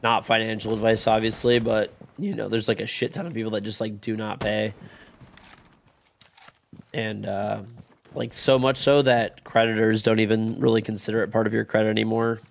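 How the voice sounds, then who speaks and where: A man in his thirties with a gravelly, creaky voice, worn down by congestion and a fading voice. He chats with his stream viewers in a loose, rambling way, dropping swear words freely. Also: on the low side, steady tone, normal speed. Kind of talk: casual chat with stream chat.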